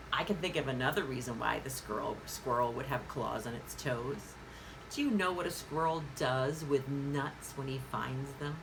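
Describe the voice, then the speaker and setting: A woman hosting a children's story time, reading interactively in a sing-song way and pausing after questions as if for answers. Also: mid-range voice, background noise. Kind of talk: interactive picture-book reading for young children.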